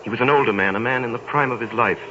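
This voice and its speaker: A man narrating a 1930s radio drama in a measured storytelling manner. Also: mid-range voice, dull audio. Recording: distant, noisy 1930s radio recording.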